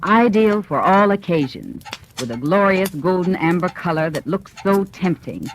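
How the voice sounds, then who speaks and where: A woman's voice: lowish voice, expressive, moderate pace. A woman voicing a gracious, refined testimonial in a 1940s radio advertisement. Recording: noisy old radio broadcast.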